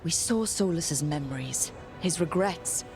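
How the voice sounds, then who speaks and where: An actress playing an earnest, determined heroine in a fantasy video game, speaking plainly and sincerely. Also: female voice, sits low, lively intonation, a little slow, vocal fry, over music, full character voice. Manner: plain-spoken, sincere, resolute. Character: earnest, determined young heroine, leader of companions.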